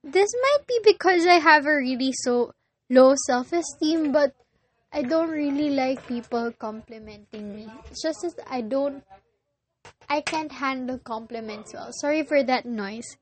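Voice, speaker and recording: A young woman with a Filipino accent, whose first language is Filipino, hosting a casual solo podcast and often pausing to search for words. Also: high-pitched, dry recording. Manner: hesitant, searching for words with many pauses. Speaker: podcast host.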